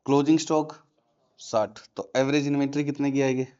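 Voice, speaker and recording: A man teaches a classroom lesson, stopping again and again to put questions to his students. His voice sits at an ordinary pitch. The recording sounds muffled and lo-fi. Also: steady tone, brisk, warm tone, clean tone. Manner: quizzing students with repeated questions. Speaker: commerce teacher. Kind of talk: classroom lecture.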